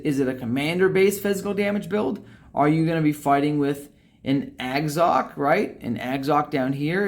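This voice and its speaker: Animated young man explaining game strategy. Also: on the high side. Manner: animated, emphatic, ends points with "okay?". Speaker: game guide creator. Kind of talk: gameplay strategy commentary. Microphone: webcam facecam over screen capture.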